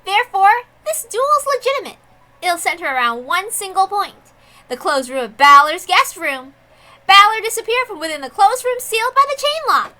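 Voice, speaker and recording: A high, bright witch acted out by a woman makes grand proclamations. She is imperious and pompous, swooping theatrically through formal lines like a judge in a visual novel. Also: sing-song, normal speed, full character voice. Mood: solemn proclaiming. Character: grand imperious female witch presiding over a duel. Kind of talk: dramatic visual novel character reading.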